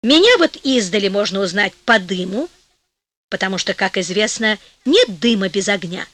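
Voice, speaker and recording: A woman's voice: mid-range voice, sing-song, normal speed, fairly bright tone, dry recording. A woman voicing a restless, boastful male fire spirit in a storybook, self-assured and practical.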